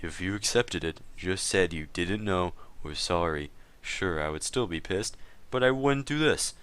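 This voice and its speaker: A man's voice: low-pitched, expressive, measured pace, fairly bright tone, smooth, no room sound. A man doing a dramatic reading as a stern, stubborn town leader, matter-of-fact and lecturing in an online-game dispute. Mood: firm, lecturing. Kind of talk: dramatic reading of a gaming chat log.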